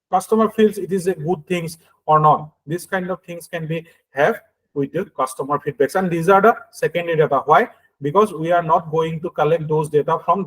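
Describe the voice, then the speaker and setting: A man with an Indian accent giving an explanatory lecture in a halting, hesitant way, often checking that listeners follow. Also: fairly high pitch, poor audio. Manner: halting and hesitant, frequently checks understanding with "okay" and "right". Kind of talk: explanatory lecture with everyday examples.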